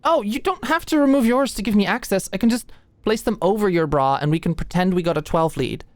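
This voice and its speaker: A woman playing an anxious, sweet and awkward young female medical student in a soft, intimate ASMR roleplay, with a hesitant manner. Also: mid-range voice, expressive, fairly quick, no room sound, natural voice. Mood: flustered, nervous. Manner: nervous, hesitant, stammering.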